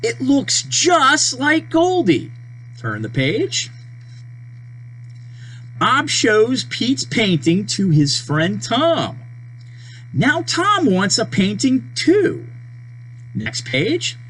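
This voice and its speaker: A person reading a children's storybook aloud, with deliberate, simple phrasing and page-turn prompts. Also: mid-range voice, poor audio. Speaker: storybook reader. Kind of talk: children's read-aloud narration. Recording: steady mains hum behind voice.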